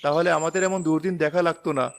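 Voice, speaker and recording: Man as an earnest, fast-talking poor villager. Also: on the high side, steady tone, a little hiss or hum, natural voice. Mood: sorrowful, resigned. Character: poor, devout, hardworking male villager. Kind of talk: animated moral story voice acting.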